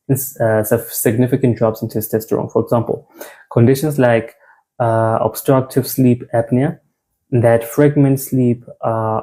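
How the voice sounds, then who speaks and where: A man's voice: mid-range voice, mellow. A young South African man giving an informal men's health talk, conversational, repeating phrases and restarting words.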